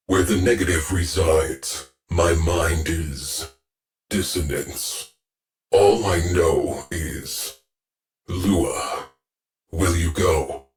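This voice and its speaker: An actor playing a grave male ship AI who gives clipped, measured military reports in a video game. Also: deep voice. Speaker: ship's command AI.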